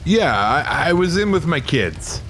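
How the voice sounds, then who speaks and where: A man's voice: a little high, very animated, a little slow, a bit bright, slight background noise. An actor playing a tense policeman and father, urgently trying to talk someone down in an audio drama. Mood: coaxing. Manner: urgent, coaxing. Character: tense policeman negotiator, father, pleading and rattled.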